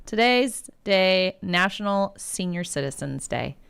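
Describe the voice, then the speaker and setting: A woman's voice: medium pitch, expressive, measured pace, creaky, a bit bright, clean tone. A woman co-hosting a morning radio show, chatting casually, laughing often and reading out the day's national days in a studio. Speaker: radio co-host.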